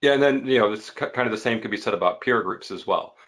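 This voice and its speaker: A man with a fairly low voice hosts an interview. He speaks casually and conversationally as he comments and puts questions to his guest. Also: fast talker, a bit bright. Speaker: podcast interviewer and host.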